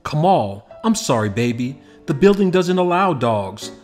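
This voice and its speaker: A man's voice: fairly high pitch, very animated, a little slow, darkish tone. A man voicing a busy, no-nonsense but loving mother in a storybook, with music behind. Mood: gentle, sorry. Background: background music.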